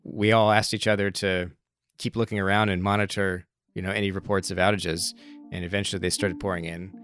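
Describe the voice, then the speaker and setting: A man's voice: low voice, level tone, a little fast, music in the background. A man interviewed as a guest, answering thoughtfully with frequent restarts and small hesitations. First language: not Russian, speaks English. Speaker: managing editor of a news website, guest.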